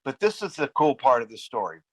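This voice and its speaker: A man's voice: medium pitch, very animated, brisk, fairly bright tone, no echo. A man who is losing his voice, telling stories in casual podcast chat with many restarts and repeats. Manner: rambling storyteller with many restarts. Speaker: podcast guest, band frontman.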